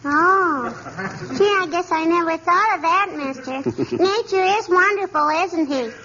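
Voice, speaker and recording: A woman's voice: extremely high pitch, unhurried, bright, forceful tone, heavily acted. A woman playing an inquisitive, cheeky little girl with childlike verbal tics in a 1940s radio comedy. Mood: innocent, teasing. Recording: noisy, muffled 1940s radio recording.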